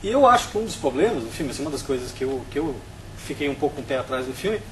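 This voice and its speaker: A Brazilian man on a film review panel, explaining his views in a steady, analytical way. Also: mid-range voice, very fast, a little hiss or hum, poor audio.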